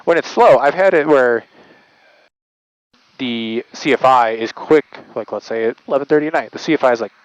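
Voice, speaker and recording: A man's voice: mid-range voice, moderate pace, no echo, muffled recording. A man working as an air traffic controller, giving explanatory interview answers full of aviation jargon.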